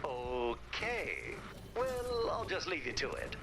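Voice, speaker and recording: A man's voice: fairly high pitch, very animated, slow pace, over loud music, exaggerated voice. A man playing a nervous, chatty, well-meaning video game character with a wry, hesitant delivery. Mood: awkward, wry.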